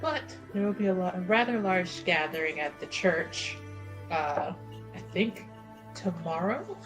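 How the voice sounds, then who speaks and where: Slow-paced woman roleplaying at game table. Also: fairly low pitch, expressive, music in the background, poor audio. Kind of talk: casual improvised in-character roleplay.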